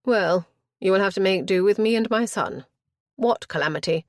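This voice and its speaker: A woman's voice: medium pitch, moderate pace, no room sound, studio quality, lightly acted. A woman voicing an imperious aristocratic older mother who speaks in a commanding, impatient way.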